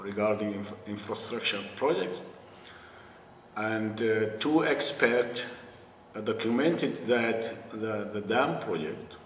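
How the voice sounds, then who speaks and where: A man from northern Sudan being interviewed, speaking slowly and deliberately with many pauses and repeated words. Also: fairly low pitch, roomy sound, in a large hall, recorded from a distance, slight background noise. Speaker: interviewee, affected community member. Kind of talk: slow deliberate explanation.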